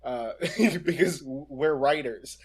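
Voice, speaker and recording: A man co-hosting a casual podcast, speaking in a halting, deliberate way with many pauses mid-sentence. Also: on the high side, breathy edge. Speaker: podcast co-host.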